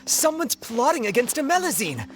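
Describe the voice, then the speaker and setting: Animated, indignant townsperson. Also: female voice, high voice, moderate pace, slight background noise. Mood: alarmed, intent. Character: indignant, protective townsperson rallying others. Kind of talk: video game voice acting.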